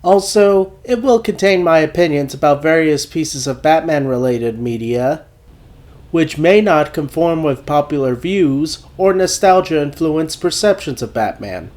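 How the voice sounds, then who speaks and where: A man recovering from a cold, hosting a video essay and narrating a scripted game review in a measured, deliberate way. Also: on the high side, expressive, darkish tone.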